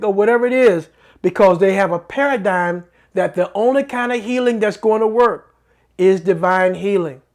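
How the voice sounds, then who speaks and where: Middle-aged host, faith talk show, earnest, preacherly, repeats phrases for emphasis. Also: medium pitch. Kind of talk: faith-based teaching monologue.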